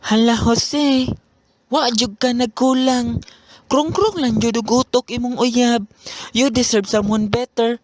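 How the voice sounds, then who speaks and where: A woman's voice: on the high side, fairly even intonation, takes it slowly, dry recording. A young woman playing a blunt, loyal friend who sides with the jilted man, in a student radio drama. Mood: indignant.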